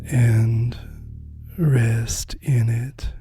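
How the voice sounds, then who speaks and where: A meditation guide with a dark, mellow voice leads a spiritual practice very slowly. The delivery is soft and breathy, often sinking toward a whisper, with long gentle pauses. Faint music plays along. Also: mid-range voice, fairly even intonation.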